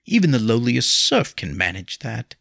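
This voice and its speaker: Casting: bitter, scornful peasant wife read by a male narrator, mousy but defiant, lively pitch swings, storybook drama. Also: normal speed, gravelly, slightly rough, no echo, studio quality, heavily acted. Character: short chubby bitter serf woman, scornful and defiant.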